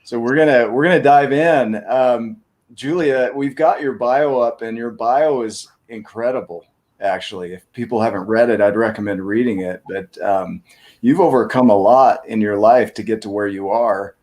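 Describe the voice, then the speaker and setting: A man's voice: mid-range voice, normal speed, darkish tone. A man hosting a casual interview show, easygoing and upbeat, rambling a little as he leads in. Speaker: host.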